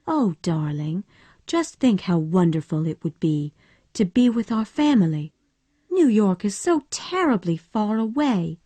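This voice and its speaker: A woman voicing a gentle, loving young Southern wife in a storybook reading, speaking soft and warm. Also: medium pitch, very animated, a little slow, no room sound.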